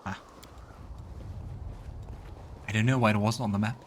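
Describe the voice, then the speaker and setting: A man's voice: lowish voice, fairly even intonation, a little slow, a little hiss or hum. A man giving casual let's play commentary and narrating scenes from a fantasy game. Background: game music.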